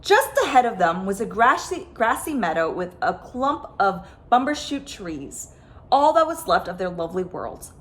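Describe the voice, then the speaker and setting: A woman reading a children's picture book aloud as a storyteller, with dramatic, expressive phrasing. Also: medium pitch, moderate pace. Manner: dramatic, stressed sound words and phrases. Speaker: storyteller, host of a story time.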